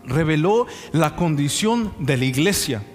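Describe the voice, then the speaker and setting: A young male preacher speaks in a somewhat high voice over background music, in a hall with long reverb. He tells anecdotes conversationally and keeps checking in with rhetorical questions. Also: animated, measured pace. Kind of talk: sermon with anecdotes. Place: church sanctuary.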